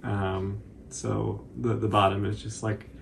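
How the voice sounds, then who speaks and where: Creaky-voiced young man, laid-back. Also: fairly low pitch, level tone, takes it slowly. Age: 20s. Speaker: cosplay channel host. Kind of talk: casual tutorial chat. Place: small room indoors on a couch. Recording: faint background noise.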